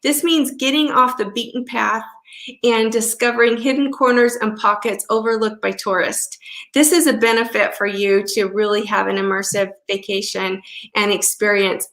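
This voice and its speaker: A woman's voice: on the high side, normal speed, fairly bright tone, reverberant. A woman travel advisor hosting a live chat on a video call, speaking in an upbeat, enthusiastic way with many fillers. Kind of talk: casual live-stream chat.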